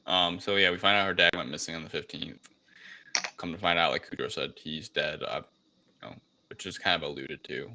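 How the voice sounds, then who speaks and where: A man's voice: rumbling low, a little fast, sharp tone, slight background noise, poor audio. A man co-hosting a casual film discussion, talking in a hesitant, rambling way with unsure phrasing. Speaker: podcast co-host recapping a film.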